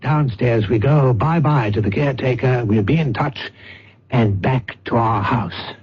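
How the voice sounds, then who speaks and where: A man's voice: mid-range voice, measured pace, a little hiss or hum, lightly acted. An actor playing a scheming, self-satisfied psychic con man in a theatrical, fussy, explanatory way, in an old-time radio drama. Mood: smug, conspiratorial. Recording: dull lo-fi old radio broadcast.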